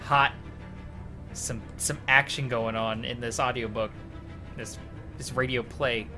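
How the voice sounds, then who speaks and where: A man's voice: fairly even intonation, slow, music in the background. A man from Canada giving casual, irreverent, sweary commentary as he hosts a gaming video. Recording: faint rumbling game noise. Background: truck game engine rumble.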